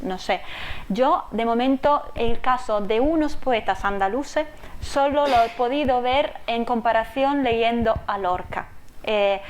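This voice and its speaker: A woman's voice: on the high side, a little hiss or hum. A woman researcher answering audience questions about her project in a hesitant way, with many fillers and restarts. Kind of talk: academic talk, answering questions.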